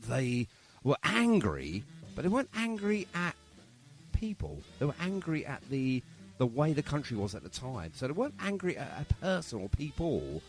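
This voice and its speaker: A male radio host in casual interview mode. His pitch moves up and down in a lively way as he rambles along, chatty and ready with a joke. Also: moderate pace, soft background music. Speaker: radio show and podcast host.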